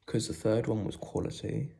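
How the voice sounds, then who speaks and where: A young man with a cold and sore throat, talking quietly and casually about a football match, with lots of ums. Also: on the low side, steady tone, normal speed, gravelly. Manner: speaks quietly, holding back volume; rambling with many ums. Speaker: football fan vlogger. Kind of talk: casual post-match reaction.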